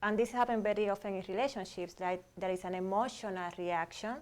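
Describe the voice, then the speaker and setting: A woman from Cuba speaks as a guest doctor on a talk show. She lays out her ideas on emotions and behavior step by step in a steady, explanatory way. Her voice sits at an ordinary middle pitch. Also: a little fast.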